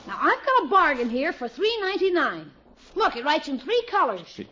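A man's voice: very high voice, big pitch swings, normal speed, bright, forceful tone, exaggerated voice. A man playing an eager, scheming young boy who talks like a brash store salesman in a 1950s radio sitcom. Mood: brisk, wheedling. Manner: brash, wheedling salesman patter. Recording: muffled, noisy old radio recording.

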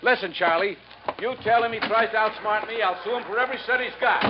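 Actor as high-pitched, blustering shady store boss. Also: male voice, fast talker, close to an everyday voice. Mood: threatening. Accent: American. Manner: brash, slangy, impatient, grumbling. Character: shady, blustering, harried cut-rate mattress store owner. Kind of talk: 1950s radio drama acting. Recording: muffled, hissy 1950s radio recording.

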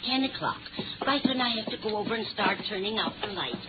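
An actress playing a kindly, fretful older librarian in a genteel, chatty manner in a 1950s radio drama. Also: female voice, medium pitch, lively intonation, quick pace, close to an everyday voice. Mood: fussy, friendly. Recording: tinny, noisy old radio recording.

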